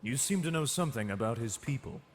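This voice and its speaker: A man's voice: medium pitch, animated, quick pace, slight background noise, natural voice. A man playing an authoritative space-navy captain in an audio comic drama, giving clipped, official, commanding delivery. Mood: brisk, commanding. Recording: faint music behind the voice.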